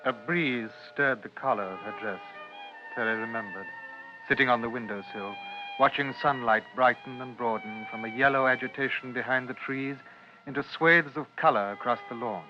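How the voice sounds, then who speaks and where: Male radio-drama narrator, measured and dramatic, medium pitch, muffled period sound. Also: over music. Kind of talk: literary scripted narration, 1950s radio drama. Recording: muffled vintage radio recording.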